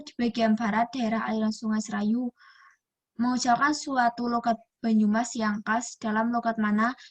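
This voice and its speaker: Young student girl reading her class notes, kinda flat, trips over words and starts over. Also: a little high. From Indonesia. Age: young adult. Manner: reads from a text with frequent restarts on words. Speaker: university student presenter. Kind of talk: reading a class presentation aloud.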